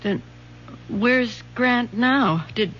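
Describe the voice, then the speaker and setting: A woman's voice: fairly high pitch, extremely slow, a bit bright, lo-fi sound. An actress playing a fragile, suspicious middle-aged mother and wife in an old-time radio drama. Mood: suspicious, uneasy. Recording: noisy old radio broadcast with hum.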